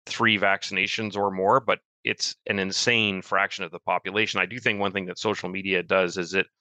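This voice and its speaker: A man with a Canadian accent co-hosting a podcast, speaking in a quick, wry, matter-of-fact way. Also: low voice, little pitch movement, pushed and bright, dry recording. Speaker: podcast co-host. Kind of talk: conversational podcast discussion.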